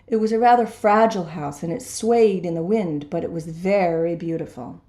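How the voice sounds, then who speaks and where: A woman's voice: fairly low pitch, normal speed, warm tone. Woman reading a children's story, echoey room. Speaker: storybook reader. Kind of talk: expressive children's story read aloud.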